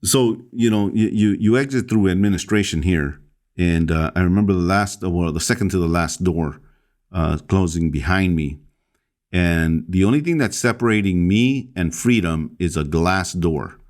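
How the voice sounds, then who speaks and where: A man from El Salvador, a pastor, recounting his story as a podcast guest in a halting way, with many fillers and restarts. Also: sits low, a little mellow.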